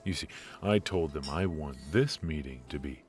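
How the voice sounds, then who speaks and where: A man's voice: very deep voice, normal speed, darkish tone, soft background music. An actor playing a charismatic, injured former mafia man in an ASMR roleplay, teasing and flirtatious while he admits to being stiff and worn out. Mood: confiding.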